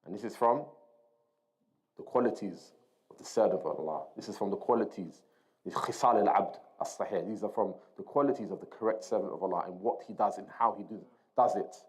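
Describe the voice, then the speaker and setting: A man's voice: on the low side, fairly quick, poor audio. Man teaching an Islamic lesson. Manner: didactic, repeats phrases and checks with listeners. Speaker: religious teacher. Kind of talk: Islamic lesson, explaining and translating Arabic quotes to students.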